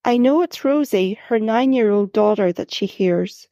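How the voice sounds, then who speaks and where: A woman author reading her first-person story in a calm, reflective way. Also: medium pitch, moderate pace, no room sound, highs cut off.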